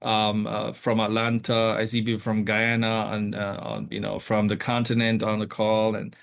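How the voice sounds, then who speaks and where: A man hosting an interview, speaking haltingly with many fillers and repeated words. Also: fairly low pitch, completely flat delivery, vocal fry, smooth. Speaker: host. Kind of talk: casual interview.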